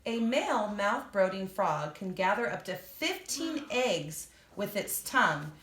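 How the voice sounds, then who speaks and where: A woman reads an informational book aloud for children, speaking to her young listeners directly and pausing to explain as she goes. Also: medium pitch, very animated, a bit bright, a little hiss or hum. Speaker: reader and teacher for children. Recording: echoey room.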